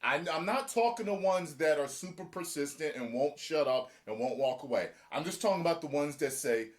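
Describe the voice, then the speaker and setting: Animated man with lively pitch. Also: a little fast. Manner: animated, acts out quotes with shifting pitch. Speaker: show host. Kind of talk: casual reaction chat.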